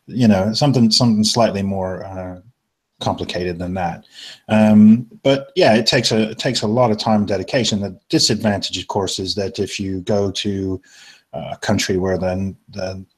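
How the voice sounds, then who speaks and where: Male co-host, very low pitch, measured hesitant delivery. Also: fairly even intonation, breathy edge. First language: English. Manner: measured, hesitant, frequent ums. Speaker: podcast co-host. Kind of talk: casual chat.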